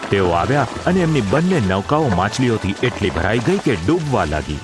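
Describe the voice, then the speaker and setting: A man's voice: fairly low pitch, very animated, moderate pace. A man narrating a scripted audio drama in a formal, literary storytelling manner. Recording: music under the voice.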